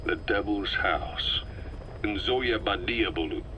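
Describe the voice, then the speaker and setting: An actor playing a serious, commanding military officer in a video game, speaking tersely. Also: male voice, on the low side, takes it slowly, pushed and bright, muffled recording, a little hiss or hum. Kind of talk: dramatic video game dialogue.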